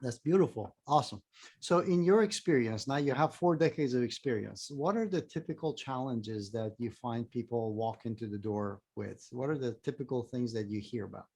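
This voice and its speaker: A man's voice: medium pitch, normal speed, darkish tone, slightly rough. Male host, enthusiastic and affirming, ordinary mid-range voice. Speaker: interview host. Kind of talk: casual interview talk.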